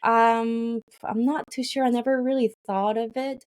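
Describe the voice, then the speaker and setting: A woman's voice: fairly high pitch, steady tone, a little slow, a little mellow. A woman, a company founder, talking in an interview, explaining earnestly with many fillers and restarts. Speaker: founder of a language game company, guest. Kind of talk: interview conversation.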